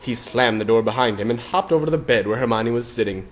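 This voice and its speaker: A man's voice: mid-range voice, quick pace. A man reading a scripted story as narrator, in a theatrical, mock-serious style. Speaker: narrator of a fan fiction reading.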